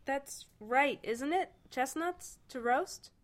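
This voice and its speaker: A woman voicing a blunt, excitable male alien hitchhiker in a read-aloud story, brash and eager. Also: sits high, slow, voice pushed up. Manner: brash and eager, swinging between pushy and sheepish. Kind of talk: storybook reading.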